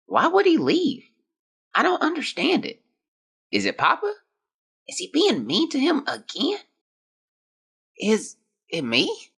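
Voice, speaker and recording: An actor performs a sick young child in an audio drama, speaking a diary aloud. The voice is husky and bright, swinging widely in pitch. The delivery is plaintive, hesitant and frightened. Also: wildly swooping pitch, slow, long pauses, exaggerated voice. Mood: anxious, confused.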